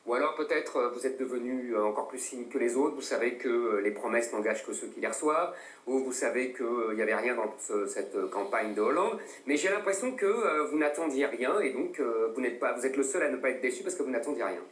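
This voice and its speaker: Probing middle-aged co-host, echoey studio. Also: male voice, mid-range voice, animated, recorded from a distance. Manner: probing, halting with fillers. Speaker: talk show co-host. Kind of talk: debate questioning.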